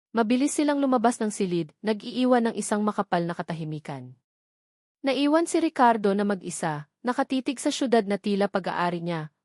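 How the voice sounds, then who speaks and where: A woman's voice: medium pitch, darkish tone, dry recording, clean studio sound. A woman with a Filipino accent reading a scripted, dramatic romance story as its narrator.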